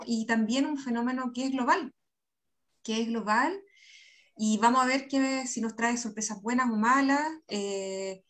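A woman with a Chilean accent speaking candidly and conversationally as an interview guest on a video call. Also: a little high. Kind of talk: casual conversational interview talk. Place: indoor room on a video call. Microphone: computer video-call microphone.

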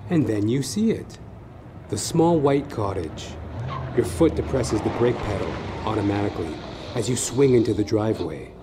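A man narrating a horror story in a suspenseful, theatrical manner, with short deliberate phrases. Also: lowish voice, lively intonation, background noise. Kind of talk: dramatic second-person horror story narration. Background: music.

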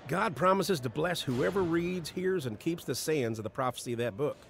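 An actor in an audio drama playing a steady, devout friend and mentor with a measured, exhorting way of talking. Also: male voice, fairly high pitch, creaky, a little hiss or hum. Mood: earnest counsel.

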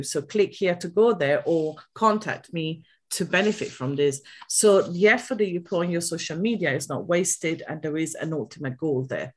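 A woman with an Italian accent, a podcast guest giving social media tips with quirky, lively chat and many fillers over a video call. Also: on the low side. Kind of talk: podcast interview. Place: home room.

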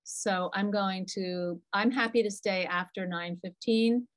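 A woman's voice: mid-range voice, measured pace. Talkative middle-aged woman on a video call. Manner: talkative, animated, answers questions from the chat. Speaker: psychologist presenting a parent webinar. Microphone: computer mic on a video call.

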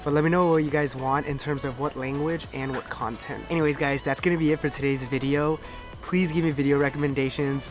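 Young man, very rapid casual vlog chat, upbeat slang, music playing behind. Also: mid-range voice, fairly even intonation. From Thailand and America. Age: 20s. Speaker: vlogger. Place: outdoor garden patio with sofa. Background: background music.

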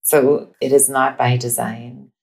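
Casting: woman from India, very deep gravelly voice, hesitant guest chatting over a video call. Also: little pitch movement, slow, darkish tone, poor audio. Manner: hesitant, fillers, laughs easily. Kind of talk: casual interview chat.

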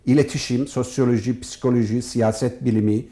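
A man giving formal, measured interview answers as an academic guest, as if from prepared notes. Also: mid-range voice, steady tone, mellow. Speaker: professor and department head, interview guest.

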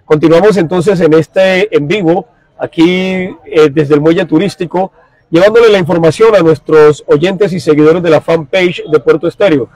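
A middle-aged man reporting live for radio from a crowded outdoor dock, speaking in a formal, measured way into a handheld mic. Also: on the high side, a little mellow, no echo, low-quality recording. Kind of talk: live field report and street interviews. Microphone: handheld mic held close.

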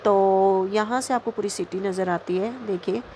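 A woman's voice: mid-range voice, unhurried, a little mellow, a little hiss or hum. A young woman with a North Indian accent gives a casual, chatty vlog voice-over, mixing in English words and often checking in with the listener. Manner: mixes English words and phrases into speech. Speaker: vlogger.

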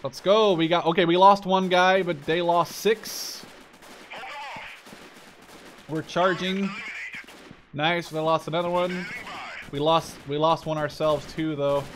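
A man's voice: on the high side, fairly even intonation, slow pace, pauses often, fairly bright tone, slight background noise. A man hosting a gaming video, commenting casually on gameplay and thinking aloud. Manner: thinks aloud, mild swearing. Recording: gunfire and clicks behind voice. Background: video game gunfire.

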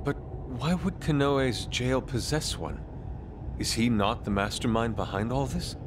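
An actor playing a solemn, eloquent young thief in an anime game, with a formal, grave and theatrical manner. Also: male voice, medium pitch, lively intonation, unhurried, noisy background, natural voice.

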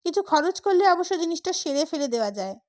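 A meek yet grasping court clerk from an audio short story, acted out by a woman narrator. He speaks in a high-pitched voice with polite, mild protest. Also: sing-song, brisk, darkish tone. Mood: matter-of-fact.

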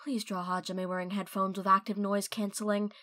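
A woman's voice: medium pitch, level tone, fairly quick, very noisy. A woman reading fan questions aloud in a casual style for a comic dub. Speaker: reader of fan messages.

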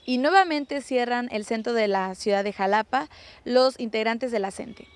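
A woman's voice: fairly high pitch, normal speed. A Mexican woman in her thirties reading scripted news as a reporter. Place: outdoors on a city street. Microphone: handheld microphone.

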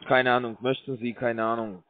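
A man making a pushy sales pitch over the phone, stumbling through broken grammar and hesitant fillers. His voice sits at an ordinary middle pitch. The sound is thin and tinny, like a phone line. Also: flat delivery, moderate pace, dry recording. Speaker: scam call center caller.

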